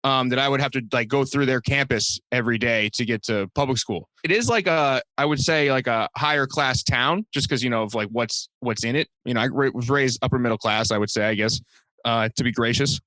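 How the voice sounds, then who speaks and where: Fast-talking bright-voiced young man. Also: medium pitch, no echo. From Massachusetts, US. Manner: loose, filler-heavy, joking asides. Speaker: comedian and designer, guest. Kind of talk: casual interview chat.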